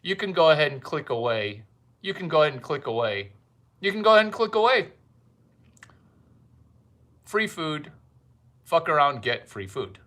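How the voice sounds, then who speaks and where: A man doing a rambling comic monologue, provocative and fond of repeating his catchphrases with drawn-out emphasis. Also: a little high, very animated, pushed and bright. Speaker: comedian host.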